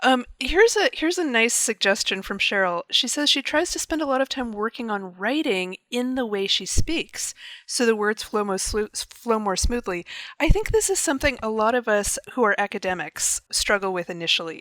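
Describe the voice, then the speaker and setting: A woman's voice: medium pitch, expressive, normal speed, a bit bright, no room sound. A woman hosting a casual video call chat, chatty and laughing often as she talks. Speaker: host of a creator hangout.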